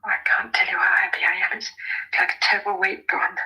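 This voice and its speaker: A woman's voice: medium pitch, flat delivery, quick pace, sharp tone, in a whisper, low-quality recording. A woman playing an anxious, tender farmer's daughter in a radio drama, hesitant and breaking off mid-phrase. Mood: emotional, relieved. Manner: hesitant, breaking off and repeating words. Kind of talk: vintage radio drama acting.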